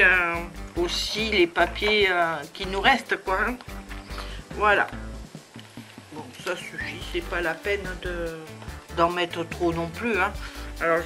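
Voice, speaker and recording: Woman with a head cold, crafting tutorial host; slow, rambling, full of fillers, music behind. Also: fairly low pitch. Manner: chatty, many hesitations and fillers. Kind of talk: casual crafting demonstration.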